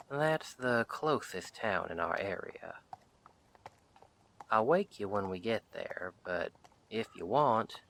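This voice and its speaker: Man playing a slow-talking, bright-voiced friendly cowboy sheriff. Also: on the low side, slight background noise, exaggerated voice. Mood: reassuring, calm. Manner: folksy drawled western phrasing, unhurried. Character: friendly, protective cowboy sheriff of the Old West. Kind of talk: roleplay audio drama, speaking softly to the listener.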